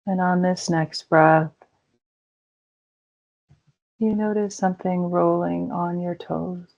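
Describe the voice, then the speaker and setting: A woman leading a guided meditation in a slow, soothing way with gentle pauses. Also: on the low side, little pitch movement, vocal fry. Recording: dull lo-fi recording.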